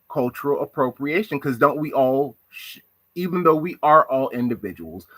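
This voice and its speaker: A man's voice: medium pitch, fairly bright tone, no echo. A man from the United States giving a reflective monologue on social issues, asking rhetorical questions. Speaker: commentator.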